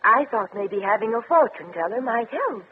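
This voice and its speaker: Shy, lovelorn older spinster played by a woman, easily flustered, highish and lively, for vintage radio comedy with thin, tinny sound. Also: normal speed, natural voice. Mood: wistful, earnest. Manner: hesitant, fluttery, easily flustered. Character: shy, lovelorn, nervous old-maid tea room owner. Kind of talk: 1950s radio comedy acting. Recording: crackly, tinny old radio recording.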